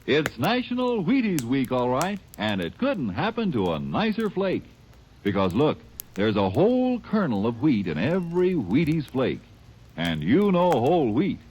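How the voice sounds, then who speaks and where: A man giving a cheerful vintage ad read, very animated voice. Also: mid-range voice, a little slow, slightly rough. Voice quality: chipper and salesy. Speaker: radio advertising announcer. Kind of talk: vintage radio commercial read.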